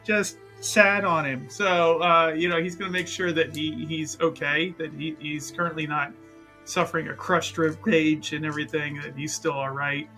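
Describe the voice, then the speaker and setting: A man casually narrating his character's actions as a tabletop roleplaying player, in an animated, halting, jokey way. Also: on the high side, soft background music.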